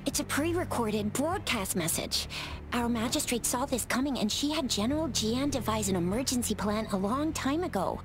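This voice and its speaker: An actress playing a friendly, capable young city guard in a video game, chatty and upbeat. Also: female voice, on the high side, lively intonation, moderate pace, over music, lightly acted. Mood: relieved, brisk. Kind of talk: video game dialogue acting.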